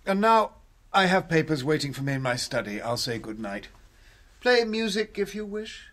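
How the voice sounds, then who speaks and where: An actor playing an imperious, impatient rich villain in a radio drama. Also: male voice, on the high side, very animated, normal speed, a bit bright. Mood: curt.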